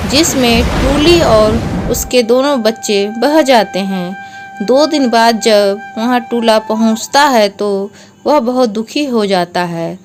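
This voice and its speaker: Woman narrator for a moral story, higher-toned, very measured pace.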